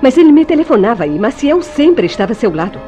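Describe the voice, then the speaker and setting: A woman's voice: fairly high pitch, very animated, quick pace, dark tone. An actress playing an evasive, indignant woman in a Brazilian radio soap opera, with formal, dramatic, defensive delivery. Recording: radio drama with background music.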